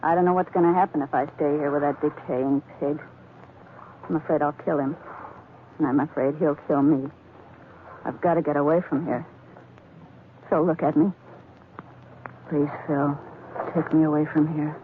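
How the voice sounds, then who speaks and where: A woman's voice: deep voice, steady tone, normal speed, long pauses, lightly acted. A woman playing a desperate, seductive femme fatale, pleading and manipulative, in 1940s radio melodrama style. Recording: tinny, noisy vintage radio recording.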